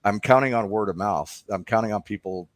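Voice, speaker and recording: A man's voice: fairly low pitch, fairly quick, no room sound. A man talking conversationally as a documentary filmmaker being interviewed.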